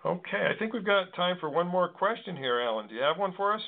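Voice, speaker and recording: Phone-line male talk show host, halting. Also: sits high, lively intonation, creaky. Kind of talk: conversational talk show hosting. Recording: thin phone-line sound.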